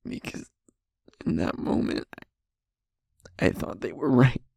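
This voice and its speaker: Male actor as sweet wounded werewolf boyfriend, intimate audio drama. Husky and creaky, close and dry, softly pleading. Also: medium pitch, big pitch swings, no room sound, full character voice. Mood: anguished, guilt-ridden. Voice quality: breathy, husky, often near whisper. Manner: halting, emotional, softly pleading.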